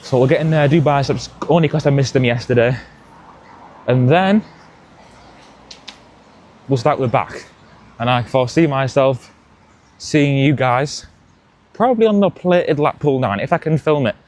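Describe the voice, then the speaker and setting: A man's voice: mid-range voice, measured pace, long pauses, a little mellow, slight background noise. A young man, chatting casually as a fitness vlogger and rambling with frequent self-corrections, talking into a clip-on mic. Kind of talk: casual vlog chat. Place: outdoors by a busy road; cold garage gym, echoey.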